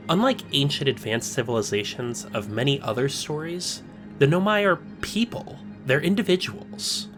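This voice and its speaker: Male video essayist, reflective scripted voice-over, deliberate pacing, faint guitar bed. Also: medium pitch. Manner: measured, reflective, slowing for emphasis. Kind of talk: scripted narration.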